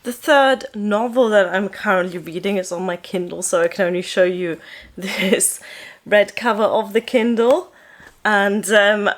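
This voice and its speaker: Young woman, german first language, chatting loose and rambly about books from her sofa, lots of ums, mid pitch. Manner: relaxed, rambling, with frequent ums and uhs. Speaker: book vlogger. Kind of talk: casual chat to camera. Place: living room, seated on a sofa indoors.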